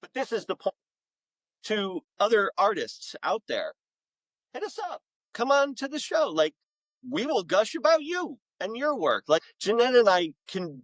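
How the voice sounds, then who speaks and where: A man's voice: sits high, no room sound, poor audio. Middle-aged man chatting, close dry sound. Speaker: author and podcast guest. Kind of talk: conversational podcast interview.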